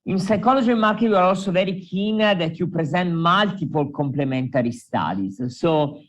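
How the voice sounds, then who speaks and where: A man with a strong Italian accent giving tips as a journal editor on a conference panel, pausing often on fillers. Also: high-pitched, expressive. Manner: hesitant, many fillers.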